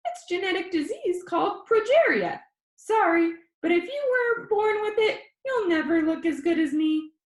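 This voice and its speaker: A woman voicing a cheerful, cocky sixteen-year-old boy video host, with a high squeaky voice and quick, playful, heavily inflected delivery. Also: expressive, reverberant. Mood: cocky, joking. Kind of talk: online video host patter within audiobook.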